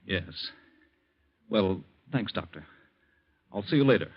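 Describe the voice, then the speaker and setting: An actor playing a suspicious, bitter grown son in an intense, accusing 1940s radio drama style. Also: male voice, low-pitched, expressive, slow, full character voice. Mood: grim, probing. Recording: muffled, lo-fi 1940s radio recording.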